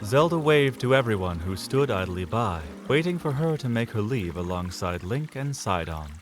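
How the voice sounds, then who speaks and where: Low-voiced, expressive male storyteller. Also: normal speed. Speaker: narrator. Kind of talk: scripted dramatic audiobook narration. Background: music under the voice.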